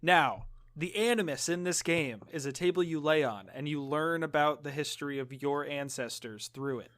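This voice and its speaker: A man's voice: fairly high pitch, moderate pace, fairly bright tone. A man co-hosting a podcast, telling stories in an informal, sweary way. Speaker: podcast co-host. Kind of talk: casual chat, storytelling.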